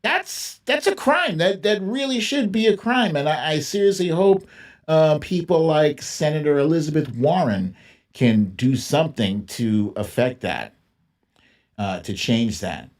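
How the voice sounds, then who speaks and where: A man's voice: fairly high pitch, expressive, a little slow. A man hosting a livestream, giving casual, opinionated political commentary in an informal, emphatic way and repeating phrases for emphasis. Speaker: livestream host.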